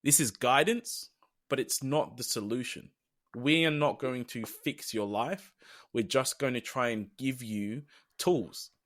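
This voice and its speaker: A young man from the UK co-hosting a casual podcast, earnest and reflective as he builds long, looping thoughts. His pitch sits in an ordinary middle range, and he often trails off softly at the end of a phrase. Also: measured pace. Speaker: podcast co-host.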